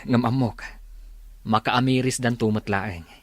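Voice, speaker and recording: A man's voice: mid-range voice, steady tone, unhurried, no room sound, natural voice. An Ilocano-accented man playing a sensible grown son who earnestly admonishes in a radio drama. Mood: resigned.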